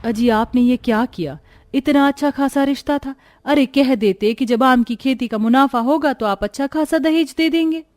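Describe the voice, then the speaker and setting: A woman's voice: high voice, moderate pace, darkish tone, close to an everyday voice. A woman voicing a middle-aged farmer's wife in a village story, practical and concerned, ready to chide. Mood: chiding. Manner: concerned, chiding.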